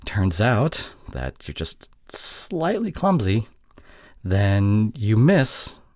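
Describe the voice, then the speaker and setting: A very low-voiced young boyfriend, acted by a man in an intimate roleplay audio drama. He is sheepish and affectionate, pleading softly in a breathy, halting way, and his pitch moves around a great deal. The sound is close and very dry. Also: huge pitch swings, no echo, full character voice. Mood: sheepish, defensive. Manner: soft, halting, pleading delivery with breathy drops toward a whisper.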